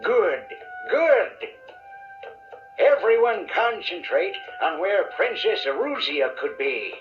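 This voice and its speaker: A man playing a showy, secretly sly wizard in a children's audio story, with theatrical, drawn-out delivery and a muffled sound. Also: high voice, sing-song, faint music behind, full character voice. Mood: grandiose.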